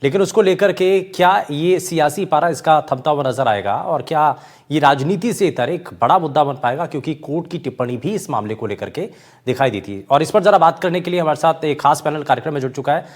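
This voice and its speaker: A man's voice: fairly high pitch, a little fast. A man anchoring a television news debate, formal and courteous, speaking in long run-on sentences. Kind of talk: TV news anchoring, introducing a panel.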